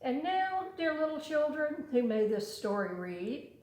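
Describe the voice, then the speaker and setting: Grandmotherly older woman storyteller: slow, very melodic, dramatic poem reading in an echoey room. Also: high-pitched, big pitch swings, darkish tone.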